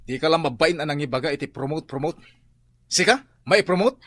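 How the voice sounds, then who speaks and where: An actor playing a grumbling, scolding husband in an Ilocano radio comedy. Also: male voice, fairly high pitch, animated, a little fast, a bit bright, dry recording. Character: grumbling middle-aged husband.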